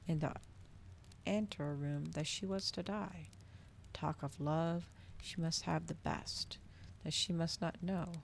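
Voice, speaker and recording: A woman reading a novel aloud in a soft, cozy ASMR style, with slow, dramatic literary phrasing. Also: deep voice, gravelly, a little hiss or hum. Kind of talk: cozy ASMR storybook narration.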